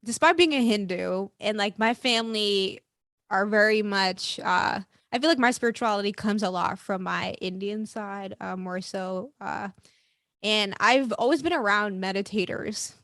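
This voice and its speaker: A woman's voice: mid-range voice, measured pace, fairly bright tone, no echo. Emphatic young woman, dry close sound. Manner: emphatic, encouraging, peppered with "like". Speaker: podcast guest. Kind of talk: casual conversational interview.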